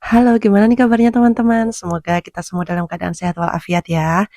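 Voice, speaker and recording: A woman vlogger with a hoarse, congested voice from a cold, chatting with viewers as friends and steadily reading out figures. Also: medium pitch, little pitch movement, fast talker, warm tone, no room sound, clean studio sound, faint music behind. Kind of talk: casual budgeting vlog talk.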